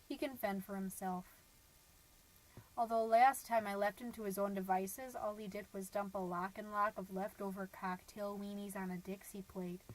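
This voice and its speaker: Woman playing a high-voiced, chatty suburban mom. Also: fairly bright tone, low-quality recording. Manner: folksy, rambling, dramatic emphasis, wholesome euphemisms. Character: chatty, cheery suburban stay-at-home mom, naive, gossipy, quietly unhappy. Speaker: podcast host. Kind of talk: comedic character monologue as amateur podcast.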